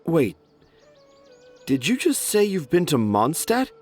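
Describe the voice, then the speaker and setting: Guilty soft-spoken dad, kinda high for a man, goes up and down a lot, quiet game music. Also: huge pitch swings, slow, full character voice. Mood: resolved. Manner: hesitant, apologetic. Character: remorseful middle-aged father, gentle. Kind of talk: game voice acting.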